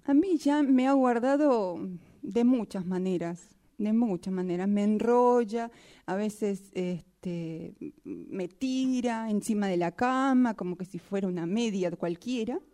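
A woman voicing a weary, overused sock in a halting, repetitive, plaintive radio-theatre monologue. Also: medium pitch, mellow, close to an everyday voice. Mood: complaining. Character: weary, overused female sock complaining about its owner.

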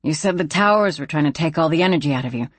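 A tough frontier woman with a gun, acted out by an audiobook narrator. She speaks plainly and wryly, in folksy, ungrammatical turns. Her pitch is moderate and the sound is dry. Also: animated, quick pace, dry recording, close to an everyday voice. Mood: wry, probing.

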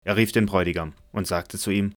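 A man narrating a scripture audio drama in a measured, solemn manner from a script. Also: low-pitched, fairly even intonation, no echo.

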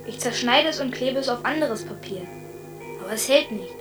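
A woman's voice: fairly high pitch, expressive, normal speed, recorded from a distance, over music, lightly acted. An actress playing an anxious, dreamlike woman recounting obsessive visions in short plain phrases in a radio drama. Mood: bewildered, flat.

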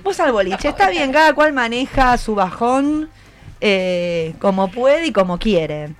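A woman's voice: fairly high pitch, big pitch swings, measured pace. A woman with a Rioplatense Argentine accent hosting a conversational radio interview, often checking back with her guests. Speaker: radio host.

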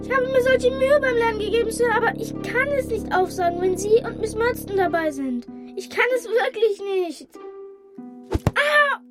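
A woman playing an earnest, timid young Victorian schoolboy in a German radio play, speaking carefully and haltingly. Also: very high voice, expressive, music in the background. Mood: pleading, terrified. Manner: careful, halting recitation and pleading.

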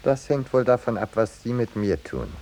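An actor playing a mild, politely ironic landscape painter in a 1950s German radio play, speaking in a dry, courteous way. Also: male voice, medium pitch, big pitch swings, normal speed, darkish tone, close to an everyday voice. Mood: dry protest.

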